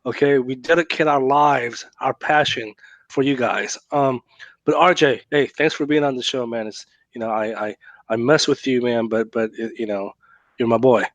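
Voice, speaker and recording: A man co-hosting a podcast in casual chat, his voice raspy from a cough, teasing and joking. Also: medium pitch, fairly even intonation, moderate pace, no echo. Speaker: podcast co-host.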